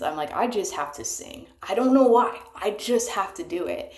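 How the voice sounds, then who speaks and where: Soft-spoken young woman in an echoey room. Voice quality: soft higher voice. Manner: soft, halting, earnest, with sighs and small laughs. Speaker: small channel singer. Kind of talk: casual confessional vlog. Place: bathroom. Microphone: cheap microphone. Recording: echoey room, cheap mic.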